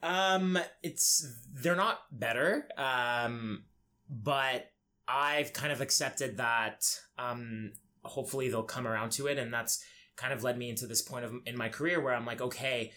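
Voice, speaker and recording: A young man from Egypt speaking candidly as a podcast guest, in a reflective, halting way with pauses. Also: mid-range voice, sharp tone. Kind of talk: candid personal interview. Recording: clear background noise.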